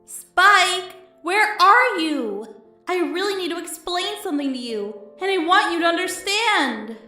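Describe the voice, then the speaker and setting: Woman voicing an anxious, high-pitched pony princess. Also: animated, measured pace, pushed and bright, exaggerated voice. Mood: worried, pleading. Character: young female pony princess, weary, anxious, frightened. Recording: echoey voice over background music.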